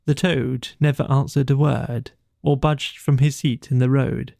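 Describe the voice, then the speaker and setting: Slow, creaky-voiced male storyteller. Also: medium pitch, dry recording, clean studio sound. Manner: measured, expressive storytelling. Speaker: audiobook narrator. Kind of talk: scripted bedtime storybook narration.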